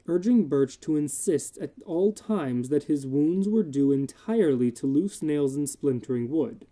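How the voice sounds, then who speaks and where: A narrator giving a measured, solemn dramatic reading of literary horror fiction. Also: medium pitch, dark tone.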